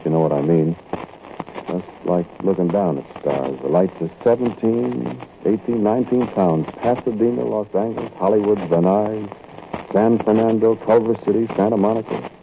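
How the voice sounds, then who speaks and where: An actor playing a nervous thief who narrates a night break-in in first person, in a 1940s radio drama. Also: male voice, lowish voice, normal speed, vocal fry. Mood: uneasy. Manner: tense, confiding first-person storytelling. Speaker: narrator and lead character. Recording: tinny, noisy old radio recording.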